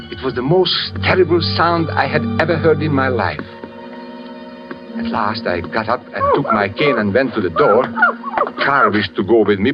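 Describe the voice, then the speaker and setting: A muffled vintage radio recording with loud music under it carries a troubled storyteller. His voice is low and swings widely with dread, halting and stammering in dramatic suspense style. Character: anxious, troubled man with a cane narrating a horror. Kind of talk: 1940s radio suspense acting.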